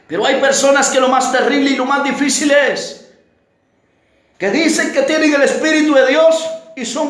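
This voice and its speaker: A man preaching a fiery evangelical sermon, emphatic and declamatory, with repeated phrases and rhetorical questions. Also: very high voice. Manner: declamatory, often rising to shouts. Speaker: preacher, pastor. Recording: very echoey, reverberant room sound.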